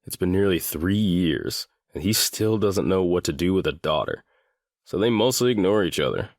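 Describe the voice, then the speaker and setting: A man playing a guarded seventeen-year-old boy in a full-cast audiobook, speaking in a deliberate, matter-of-fact way. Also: low voice, sing-song, gravelly, no room sound, studio quality, heavily acted. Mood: frank, determined. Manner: deliberate, matter-of-fact, swears now and then.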